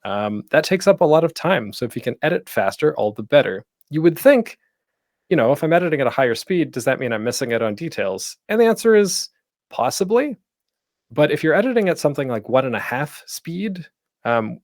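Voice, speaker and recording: A man hosts an informal panel in a conversational, encouraging tone. His mid-pitched voice moves around in lively swings, and he pokes gentle fun at himself. The sound is dry and close. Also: dry recording. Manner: conversational, encouraging, self-deprecating humor. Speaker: podcaster and panel host. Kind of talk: informal online panel presentation.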